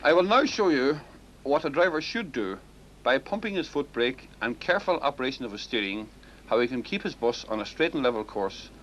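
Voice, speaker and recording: A man's voice: mid-range voice, lively intonation, a little fast, a bit bright. A man, a driving instructor, giving formal demonstration commentary on a 1960s film recording. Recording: muffled, noisy 1960s film sound.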